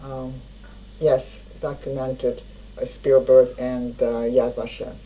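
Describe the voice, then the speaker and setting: An older woman, a native Hungarian speaker, giving spoken testimony in a halting way, often searching for words. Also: extremely low pitch, flat delivery, dark tone, poor audio. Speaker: interviewee.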